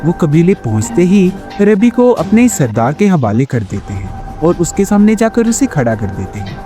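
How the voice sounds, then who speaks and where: A man's voice: on the high side, very animated, moderate pace, mellow, soft background music. A man narrating a scripted moral tale as a storyteller.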